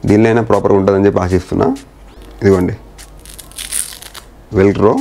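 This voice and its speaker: A man's voice: low-pitched, flat delivery, quick pace, gravelly, dark tone, slight background noise. A man talking informally through a hands-on installation as a vlogger, mixing in English words and phrases. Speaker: motorcycle vlogger. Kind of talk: casual hands-on installation walkthrough.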